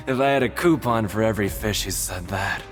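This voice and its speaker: A man's voice: on the low side, close to an everyday voice. A man voicing a sly, streetwise prisoner in a video game, teasing and matey as he hints at secrets. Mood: mocking. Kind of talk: video game cutscene voice acting.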